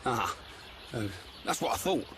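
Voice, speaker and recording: A man's voice: medium pitch, very animated, unhurried, sharp tone, a little hiss or hum. A man playing a loyal, simple young fighter in a video game, talking bluntly and casually. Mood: sheepish. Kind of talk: video game cutscene acting.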